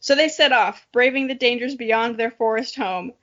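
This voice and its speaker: A young woman with a high voice reads aloud and drops in casual, jokey asides. She co-hosts in a self-mocking, playful manner. The recording is dull and lo-fi. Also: moderate pace, a bit bright. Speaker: podcast co-host. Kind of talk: reading aloud with casual commentary. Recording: dull, lo-fi audio.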